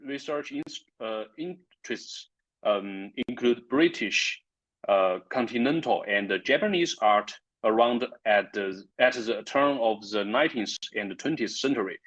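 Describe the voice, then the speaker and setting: A man hosting an online series, introducing a guest carefully and haltingly, stumbling over words. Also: lowish voice, a bit bright. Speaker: host. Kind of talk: scripted interview introduction. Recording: dull lo-fi audio.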